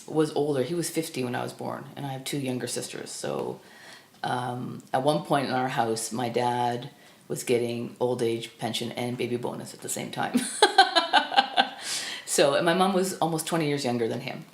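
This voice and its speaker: Woman, fifties, from Ontario, gravelly voice, halting, reflective, echoey room. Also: extremely low pitch, expressive, darkish tone. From Burlington, Ontario, Canada. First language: Estonian. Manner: thoughtful, halting, many restarts and fillers. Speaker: film critic guest. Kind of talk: reflective personal storytelling.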